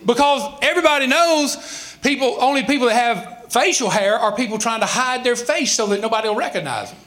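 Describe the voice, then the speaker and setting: High, bright-voiced Southern preacher in his sixties. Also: animated, brisk. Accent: Southern American. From Southern United States. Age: 60s. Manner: emphatic preaching with rhetorical questions and folksy asides. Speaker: pastor. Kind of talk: sermon.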